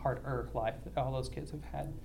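A young man earnestly telling a church about a mission trip, halting often with ums and restarts. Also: medium pitch, fairly even intonation, vocal fry, noisy background. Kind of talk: church mission report, casual.

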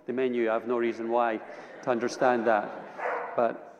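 A man's voice: medium pitch, level tone, moderate pace, muffled recording, noisy background. A Scottish man giving a personal testimony sermon as a guest preacher, telling it conversationally with dry humor. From Edinburgh, Scotland. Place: large indoor church or hall. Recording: reverberant hall, long echo.

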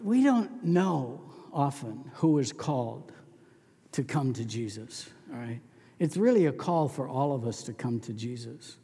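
A man's voice: mid-range voice, mellow, breathy edge, long reverb tail. A man preaching a sermon as pastor, telling stories in a folksy, conversational way.

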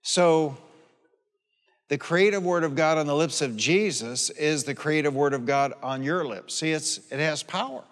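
A man's voice: fairly high pitch, a little slow. A man of sixty-seven preaching a sermon in a folksy, emphatic way, repeating phrases and telling personal stories. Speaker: pastor preaching. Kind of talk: sermon with personal anecdotes and calls for amen. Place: large hall or church, preaching to a congregation. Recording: long hall reverb on live sermon.

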